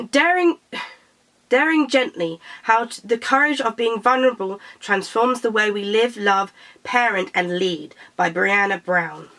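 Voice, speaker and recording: A young woman from Britain, a little croaky after being sick, chatting casually to camera about books and tripping over her words. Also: mid-range voice, measured pace, bright, forceful tone, rough recording. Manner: chatty, self-correcting, stumbles over titles. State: slightly croaky from illness. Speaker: book vlogger.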